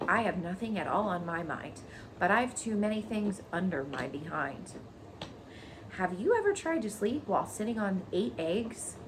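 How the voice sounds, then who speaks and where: A woman's voice: mid-range voice, big pitch swings, normal speed, background noise, close to an everyday voice. A woman voicing a matter-of-fact, cheeky mother goose, chatty and frank, in a storybook reading.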